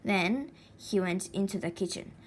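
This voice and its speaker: A woman reading a children's story aloud expressively, with deliberate dramatic pauses and stretched words. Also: medium pitch. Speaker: storybook reader. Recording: faint background noise.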